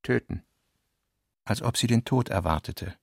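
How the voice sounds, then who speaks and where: A male narrator with a gravelly, mid-pitched voice reads literary prose for a radio play. His phrasing is deliberate and dramatic, with pauses between phrases. The sound is dry, with no room on it. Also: no echo.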